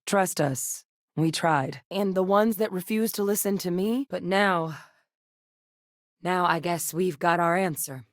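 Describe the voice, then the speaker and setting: An actress voicing a seasoned, determined female military xenobiologist in a video game, speaking in a measured, earnest, matter-of-fact way. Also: fairly low pitch, no room sound, clean studio sound, natural voice. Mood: grave, focused. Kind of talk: scripted game dialogue.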